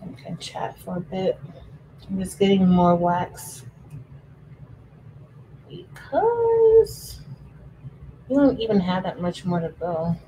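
A woman's voice: mid-range voice, wildly swooping pitch, takes it slowly, pauses often, mellow, slight background noise, low-quality recording. A woman in her thirties hosting a casual craft livestream from home, chatting with viewers while she works. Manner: chatty, addresses viewers as y'all, frequent laughs. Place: indoors at a home craft table.

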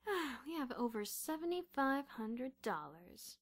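A woman voicing an excitable, bossy schoolboy schemer who exclaims and gives orders in a storybook narration. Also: sits high, measured pace, pitched above the performer's own voice, full character voice. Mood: scheming. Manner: exclamatory, commanding.

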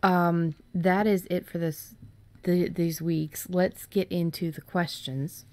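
A woman's voice: on the low side, little pitch movement, slow, darkish tone. A woman co-hosting a podcast in a casual, informal, somewhat rambling conversational style. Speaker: podcast co-host. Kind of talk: casual chat.

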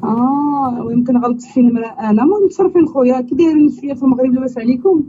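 A man's voice: extremely high pitch, racing, warm tone. A man putting on the voice of a chatty young woman from abroad looking for a husband, speaking with a Moroccan accent on a prank phone call. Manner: chatty, pleading, repeats "you understand?". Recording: dull lo-fi sound with faint noise.

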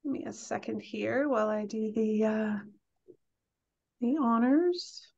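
A woman's voice: a little high, slow pace, gravelly, a little mellow, slightly rough. Chatty middle-aged woman on a video call. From England. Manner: friendly, chatty, many ums and restarts. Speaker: college president, guest speaker. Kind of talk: casual talk on a video call. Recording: dull lo-fi video call audio.

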